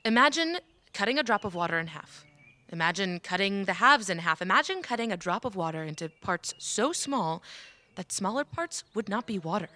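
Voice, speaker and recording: Female mage scholar, medium-pitched, measured, formal, instructive, fantasy audio drama. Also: very animated, bright, forceful tone. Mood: patient, serious. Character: scholarly female mage patiently teaching magic. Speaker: voice actor.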